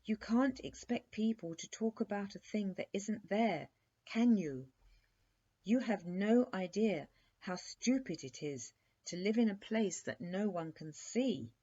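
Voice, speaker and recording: A courteous young prince from a bedtime storybook, acted out by a woman reader. He is wistful, polite and plaintive, in a dark, husky tone. The sound is a touch lo-fi. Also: medium pitch, lively intonation, unhurried, voice pushed up. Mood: sighing, plaintive. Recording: dull, muffled lo-fi recording.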